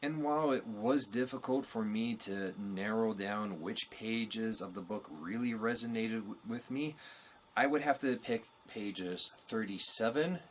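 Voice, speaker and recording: A man presenting a scripted review to viewers as the host, in an earnest, measured delivery. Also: on the low side, a little hiss or hum. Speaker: host of a book review show.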